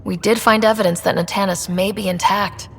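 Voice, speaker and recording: A woman playing a calm, capable young space explorer in a video game, composed and understated. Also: medium pitch, brisk, vocal fry. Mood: serious. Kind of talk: video game dialogue acting.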